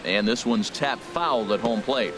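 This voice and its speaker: A man's voice: mid-range voice, unhurried, over loud music, natural voice, rough recording. A man playing a composed, professional baseball play-by-play announcer in a video game, calling the action. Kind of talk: play-by-play sports commentary. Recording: stadium noise behind the commentary.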